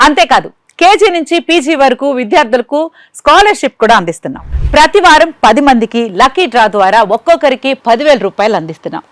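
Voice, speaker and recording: A woman delivers a scripted promo in a high, very lively voice. The pace is quick and the recording is dry and close. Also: no echo. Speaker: advertisement voice-over.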